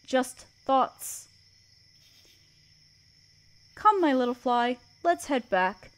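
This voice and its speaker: A woman playing a gentle, motherly, regal spider queen in a comforting roleplay audio drama, speaking in a soft, deliberate, soothing way. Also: sits high.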